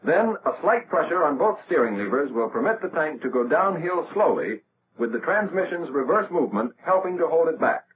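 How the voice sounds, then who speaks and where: A tinny, narrow old film soundtrack carries an American man narrating a 1940s army instructional reel. His voice sits fairly high and moves in lively rises and falls. He delivers scripted instructions in a measured, orderly way.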